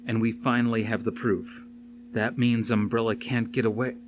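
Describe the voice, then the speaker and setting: A man's voice: medium pitch, flat delivery, normal speed, noisy background, lightly acted. A man voicing an earnest, determined, wounded action hero in an audiobook, speaking firmly and insistently. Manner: firm, insistent.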